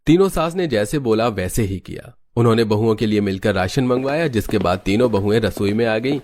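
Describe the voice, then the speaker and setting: A man's voice: lowish voice, expressive, a little fast, darkish tone. A man reading scripted narration for a cartoon family story. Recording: faint background noise.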